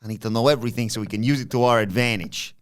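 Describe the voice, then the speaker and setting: A man voicing a fussy male crime-family boss in a high, whiny voice. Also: racing, clean tone, lightly acted. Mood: scheming.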